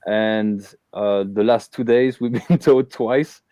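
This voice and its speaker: Belgian guy hosting a live chat, kinda low mellow voice, lots of ums, dry close sound. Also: fairly even intonation, takes it slowly, clean tone, dry recording, lo-fi sound. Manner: hesitant, many fillers and restarts. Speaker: host. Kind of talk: casual live interview chat.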